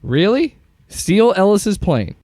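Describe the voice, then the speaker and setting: An actor playing a skeptical, exasperated government agent in an audio drama, questioning everything in an incredulous way. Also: male voice, sits high, very animated, takes it slowly, mellow, no room sound, clean studio sound, exaggerated voice. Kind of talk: scripted audio drama acting.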